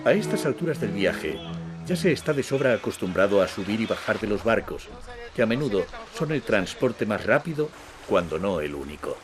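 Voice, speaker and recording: A man narrating a travel documentary in a measured, scripted style. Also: fairly low pitch, sing-song. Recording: music bed under voice-over.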